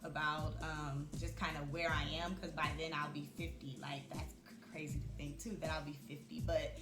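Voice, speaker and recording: A woman in her mid-thirties speaks on a vlog in a reflective, chatty way, with fillers scattered through her thoughts. Her voice sits a little low for a woman. The room rings with echo, and music plays behind her. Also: level tone, moderate pace. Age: 30s. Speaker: vlogger. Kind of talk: casual talk to camera.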